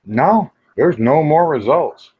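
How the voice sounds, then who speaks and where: Male livestream host, fifties. Very slow, folksy rambling, half muttered to himself, through dull lo-fi sound. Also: fairly high pitch, animated, husky. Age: 50s. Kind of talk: casual rambling live chat.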